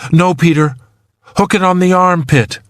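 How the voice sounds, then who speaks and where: A man's voice: high voice, measured pace, no echo, pitched above the performer's own voice. A man voicing a determined woman rescuer in an audiobook, firm, urgent and encouraging. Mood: urging. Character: steady, determined woman rescuer, reassuring under pressure. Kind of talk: audiobook character dialogue.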